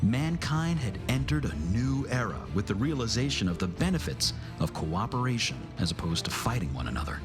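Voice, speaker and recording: An actor playing a grave, battle-weary narrator of an alien war in a motion comic, solemn, measured and deliberate. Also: male voice, medium pitch, very animated, lightly acted. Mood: intense. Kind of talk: dramatic scripted narration. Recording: music behind the voice.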